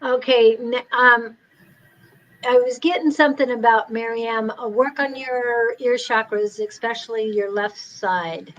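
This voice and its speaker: A woman's voice: on the high side, unhurried, lo-fi sound. A woman co-hosting a live psychic reading stream, calling names and keeping order. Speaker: co-host.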